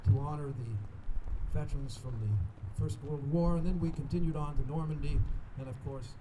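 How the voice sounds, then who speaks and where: A man hosting a remembrance ceremony with an informal welcome speech, speaking in an earnest, halting way. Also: fairly high pitch. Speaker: ceremony host. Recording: noisy outdoor ambience.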